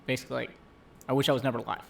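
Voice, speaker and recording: A man's voice: mid-range voice, little pitch movement, very fast, vocal fry, a bit bright. A man co-hosting a live-streamed chat show, earnestly retelling a film plot in a casual way with many fillers. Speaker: co-host. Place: home streaming setup.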